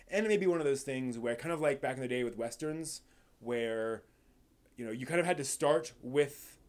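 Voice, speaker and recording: Rambling, halting man hosting a live stream. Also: mid-range voice, a little mellow. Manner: rambling, halting, often restarts sentences. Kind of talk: casual live stream commentary on film and anime news.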